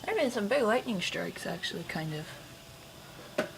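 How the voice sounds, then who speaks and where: An American woman with a mid-pitched voice talks off the cuff in a casual, slangy way. It is an amateur home recording with clear background noise. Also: big pitch swings, unhurried, low-quality recording. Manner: informal, slangy exclamations and remarks. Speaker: amateur recording a weather radio alert. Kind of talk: casual spontaneous chat.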